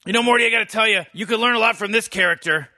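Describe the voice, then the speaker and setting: A man's voice: high voice, racing, bright, forceful tone. A man voicing a cynical old male mad scientist, sarcastic and rambling, stammering over his words as he mocks game characters. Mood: sardonic. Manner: sarcastic, rambling, stammered restarts, barking insults. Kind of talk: comedic commentary on game characters.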